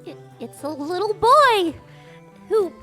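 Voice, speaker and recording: Woman as very high-pitched, gushing Santa's helper. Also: sing-song, slow pace, sharp tone, soft background music, full character voice. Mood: gushing, excited. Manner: gushing, golly-gee sweetness. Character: perky, wide-eyed young woman, Santa's helper. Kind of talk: live stage radio-play acting. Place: live on stage at a recreation center.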